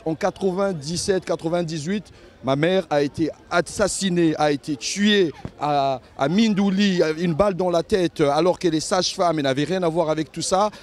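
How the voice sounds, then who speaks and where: A man's voice: a little high, a little mellow. A middle-aged man from Congo-Brazzaville, speaking with passion in a street interview at an outdoor protest. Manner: impassioned, pleading.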